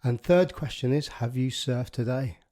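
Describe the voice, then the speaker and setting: A man's voice: medium pitch, moderate pace, mellow. A man hosting a surf podcast, asking questions in a matey, chatty way and ending them with tag questions. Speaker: podcast host. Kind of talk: casual interview chat.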